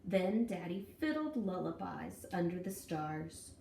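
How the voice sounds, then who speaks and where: A woman reading a children's story aloud in an expressive, folksy, deliberate way with dramatic emphasis. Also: lowish voice, gravelly. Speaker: storybook reader. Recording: echoey room, distant mic, faint noise.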